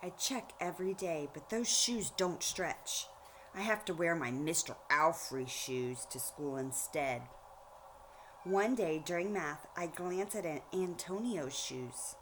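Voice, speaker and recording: A woman reading a storybook aloud like a teacher, pausing to explain things directly to young listeners. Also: lowish voice, a little hiss or hum, poor audio. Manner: explanatory, addresses children directly.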